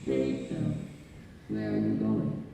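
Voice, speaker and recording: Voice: very deep voice, lively intonation, slow pace, gravelly, mellow, a little hiss or hum, heavily acted. An actor playing a gloomy, bored robot with a dreary, dismissive drone in a live radio play. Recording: echoey hall, music over the voice.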